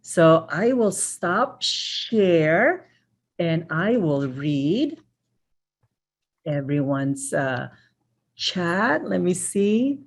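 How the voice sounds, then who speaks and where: A woman from the Philippines with a low voice hosts a webinar over a video call. She speaks in a casual, appreciative way, thanking people again and again and filling pauses with "uh" as she goes. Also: very animated. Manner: warm, grateful, many fillers and thank-yous. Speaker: webinar presenter. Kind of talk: live webinar wrap-up.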